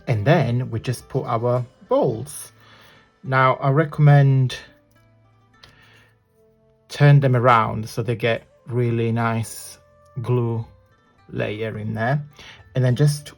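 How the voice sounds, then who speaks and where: A man with a croaky, gravelly voice talks unhurriedly through a hands-on craft tutorial at his desk. He is chatty and apologetic, trailing off as he works, and sounds a little husky throughout. Faint music plays underneath. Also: mid-range voice, steady tone, slow, long pauses.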